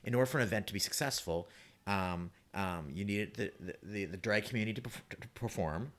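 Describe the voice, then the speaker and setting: A man with a low voice talks quickly in a casual panel discussion. He is an animated storyteller who makes his points emphatically and acts out little quotes as he goes. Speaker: playwright guest.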